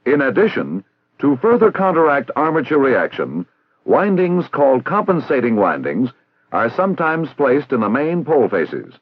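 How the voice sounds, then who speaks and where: Male narrator, American, for vintage 1960s instructional film; formal and didactic, husky edge, very dry lo-fi sound. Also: mid-range voice, big pitch swings, normal speed, dry recording. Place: recorded voice-over.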